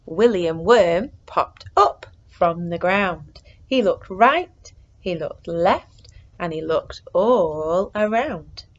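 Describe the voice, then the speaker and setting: A woman with a fairly low voice reads a children's story at a very slow, deliberate pace. She is expressive, dropping into suspenseful hushes and lifting into excitement. The recording is slightly dull and homemade. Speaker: storyteller reading a picture book to children. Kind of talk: animated read-aloud for young children.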